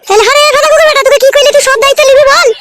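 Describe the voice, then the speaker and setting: A woman playing a foul-mouthed young cartoon wife who scolds and abuses in a shrill voice. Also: fairly even intonation, racing, a bit bright. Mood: accusing. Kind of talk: cartoon comedy dialogue.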